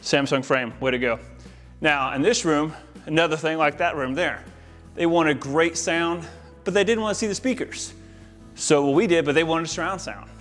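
An American man with a slightly high voice presents a casual walk-through of an installation job. He talks informally and with enthusiasm, like a tradesman showing his work to a camera. Faint music plays behind him. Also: normal speed, fairly bright tone. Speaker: home audio-video installer giving a tour. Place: inside a large, mostly empty house.

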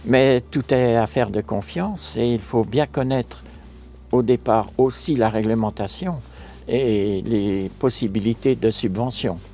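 An older man from France, a local mayor, recounting a restoration project in a measured, formal way in an outdoor interview. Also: mid-range voice, mellow. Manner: measured, formal, a few fillers. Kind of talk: interview answers, measured account. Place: outdoors on a stone chapel tower balcony.

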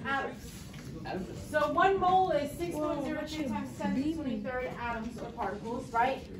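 A woman's voice: sits high, moderate pace. A woman teaching chemistry to a class, explaining and quizzing her students as she goes. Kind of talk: classroom lecture. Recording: echoey classroom, far from the mic. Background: loud chatter of students.

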